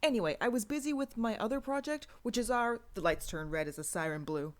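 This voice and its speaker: A woman's voice: on the high side, animated, fairly quick. A woman reading a first-person story aloud as an audiobook narrator. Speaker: audiobook reader. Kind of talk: scripted fiction narration.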